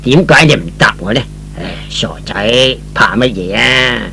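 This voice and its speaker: A man's voice: mid-range voice, animated, a little slow, gravelly, pushed and bright, slight background noise, voice pushed down, full character voice. A man playing a paternal older company chairman, coaxing yet authoritative, in old-fashioned radio drama style. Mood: probing, coaxing.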